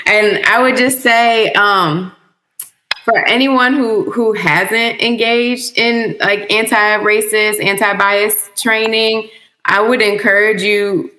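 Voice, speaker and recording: Bright-voiced woman, encouraging and emphatic. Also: fairly low pitch, reverberant. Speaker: educator and parent panelist. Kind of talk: panel discussion.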